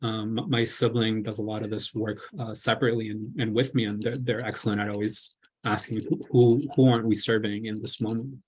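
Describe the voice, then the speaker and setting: A man from Colombia speaks on a panel in a low, husky voice. He talks hesitantly, filling gaps with ums and restarting his sentences. The sound is a video call. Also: level tone, fairly quick, darkish tone, poor audio. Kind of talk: reflective panel talk.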